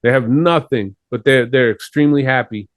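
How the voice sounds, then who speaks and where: A man's voice: medium pitch, normal speed, no room sound, clean studio sound. A man from Chile, talking earnestly and at length as a guest in a casual interview. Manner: earnest, rambling.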